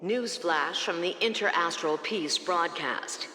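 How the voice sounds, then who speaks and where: Formal newsreader, even delivery, echoey. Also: female voice, fairly low pitch, takes it slowly, noisy background. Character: news broadcast announcer.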